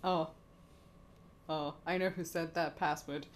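A woman's voice: deep voice, steady tone, measured pace. Woman reacting to a story, dry amused quips, takes her time, bit of room noise. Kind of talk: casual chat. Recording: clear ambient background noise.

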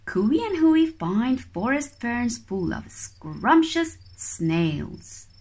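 A woman reads a children's picture book. Her voice sits fairly high and moves in lively swoops. She takes it very slowly, placing each phrase with care. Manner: expressive, deliberate phrasing. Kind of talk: storybook read-aloud for young children.

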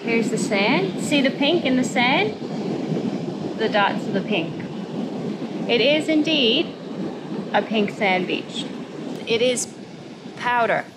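Higher-voiced woman vlogging in a noisy outdoor spot. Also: expressive, takes it slowly, pauses often. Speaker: travel vlogger. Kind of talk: casual vlog chat. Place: outdoors on boat, paths and open beach.

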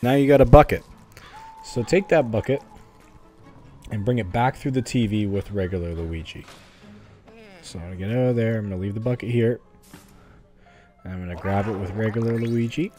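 Deep-leaning male voice, dark and relaxed, casual gameplay walkthrough, informal, game music behind. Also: normal speed, long pauses. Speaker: video game guide host.

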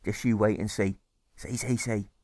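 A man's voice: fairly low pitch, fairly even intonation, moderate pace, darkish tone, no echo, studio quality, close to an everyday voice. A man voicing a stern, grudging older woman, a matron who repeats her words, in a storybook reading.

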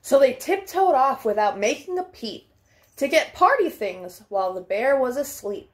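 A young woman reading a children's storybook aloud in an expressive, deliberate, child-directed way. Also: high voice, a little hiss or hum. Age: young adult. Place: small furnished living room at home.

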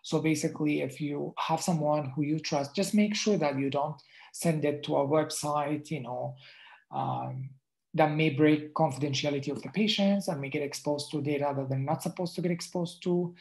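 A man's voice: on the high side, normal speed, darkish tone, rough recording. A man whose first language is Arabic, a dermatologist giving a casual online lecture over slides, talking conversationally with many restarts and fillers. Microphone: video call.

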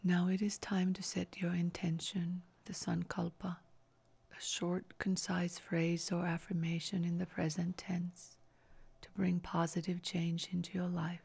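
Breathy, slow woman guiding meditation. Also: on the low side. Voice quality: soft, breathy, near-whispered. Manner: calm, soothing, measured instruction. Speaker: yoga nidra meditation guide. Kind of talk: scripted guided relaxation meditation.

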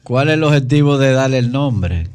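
A man's voice: medium pitch, expressive, normal speed, a little mellow, clear, resonant tone. A man with a Dominican accent debating as a panelist on a radio talk show.

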